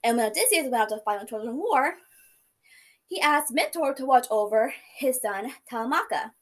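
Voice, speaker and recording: A woman's voice: on the high side, moderate pace. A young woman giving a class speech, telling stories in a playful, casual way. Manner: lively, playful storytelling with casual asides. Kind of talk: informative speech.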